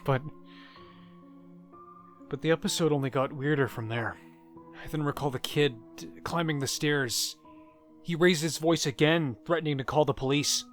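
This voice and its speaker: A man's voice: a little high, moderate pace, long pauses. Man narrating horror over music. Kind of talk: first-person scripted horror narration.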